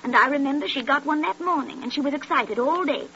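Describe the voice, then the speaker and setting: High-voiced gossipy landlady, old radio. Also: fast talker, bright, forceful tone. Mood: confiding. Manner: gossipy, indignant. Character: talkative, defensive working-class landlady of a boarding house. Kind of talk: 1930s radio drama acting. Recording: noisy, dull 1930s radio recording.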